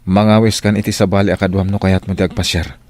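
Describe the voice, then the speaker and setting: A man's voice: sits low, little pitch movement, fast talker, a little mellow, lightly acted. A man playing a dutiful, firm restaurant employee in a radio drama, with a Filipino accent. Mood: firm, guarded.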